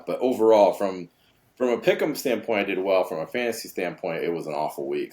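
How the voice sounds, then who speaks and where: A man's voice: extremely low pitch, a little mellow. A man hosting a sports podcast in an upbeat, easygoing way with many fillers. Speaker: podcast host. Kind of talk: casual sports chat.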